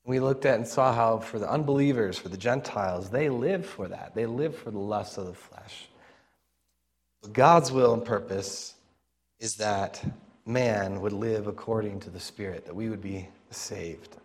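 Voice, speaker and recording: Raspy-voiced male preacher. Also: lowish voice, measured pace. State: strained, raspy voice, working for volume. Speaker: pastor preaching. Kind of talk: informal expository sermon.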